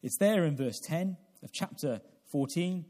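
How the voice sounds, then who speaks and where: Male preacher, 40s. Slightly high, mellow voice; deliberate, searching sermon delivery with repeated phrases. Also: expressive. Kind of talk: evangelical sermon with prayer.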